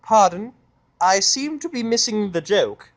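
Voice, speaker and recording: A man acts out a refined, snobbish mare in a fan-fiction audio story. The voice is pushed high and drawn out, with huffy, affected airs of elegance. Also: expressive, a little slow, gravelly, heavily acted. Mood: disdainful, huffy. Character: prissy, haughty, refined lady unicorn mare.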